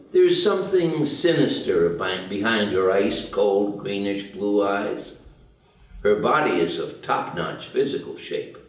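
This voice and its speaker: A man reads out screenplay stage directions at a table read in a measured, matter-of-fact way. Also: on the low side. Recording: very echoey room, distant mic.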